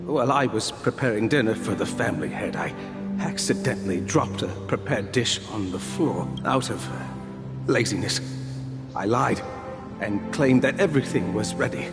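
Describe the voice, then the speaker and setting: Game role: man as deferential, contrite servant at confession, mid-pitched, hesitant and formal, loud music behind. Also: animated, moderate pace, close to an everyday voice. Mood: guilty, hesitant. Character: humble, guilt-ridden male servant confessing sins. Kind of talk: dramatic game cutscene acting. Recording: echoing hall with loud music.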